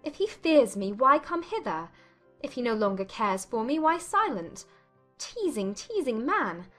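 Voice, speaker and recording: A woman's voice: a little high, sing-song, measured pace, fairly bright tone, soft background music, voice pushed up. A woman voicing a proud, vexed and lovelorn young lady, speaking her thoughts dramatically in a period novel audiobook. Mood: vexed, hurt. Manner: dramatic, exclaiming inner monologue.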